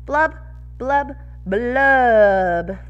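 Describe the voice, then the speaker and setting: A woman's voice: on the high side, lively intonation, very slow, smooth, highs cut off, faint music behind, voice pushed up. A woman voicing a pouting male fish from a picture book, chanting in rhyme. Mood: glum, resigned.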